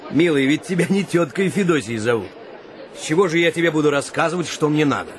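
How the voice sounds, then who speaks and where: An actor playing an earnest, educated gentleman come home from abroad, formal and probing, in a radio play. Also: on the high side, lively intonation, quick pace, a faint crowd, a little hiss or hum, lightly acted. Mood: genial.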